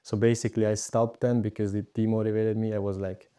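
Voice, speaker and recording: A man's voice: fairly low pitch, level tone, quick pace, warm tone, clean tone. A young man from Hungary, raised partly in Austria, casually telling his story as a podcast guest. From Hungary, moved to Austria aged seven. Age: teens to young adult.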